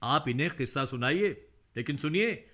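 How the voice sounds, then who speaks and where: An actor playing a genial, teasing, courteous husband in a radio play. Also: male voice, on the high side, expressive, moderate pace, sharp tone. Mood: genial, coaxing.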